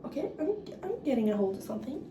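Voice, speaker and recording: A young woman from northern Norway with a Norwegian accent, chatting casually in English while doing her hair, halting and full of fillers. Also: sits high, sing-song. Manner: halting, frequent fillers and restarts. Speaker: vlogger. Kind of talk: casual get-ready-with-me chat. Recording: echoey room, mic far off, clear background noise.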